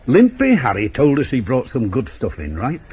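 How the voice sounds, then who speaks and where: An actor playing a shrewd, dogged police inspector in a radio crime drama, with a terse, probing, ruminative manner. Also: male voice, mid-range voice, wildly swooping pitch, moderate pace, darkish tone, breathy edge, heavily acted.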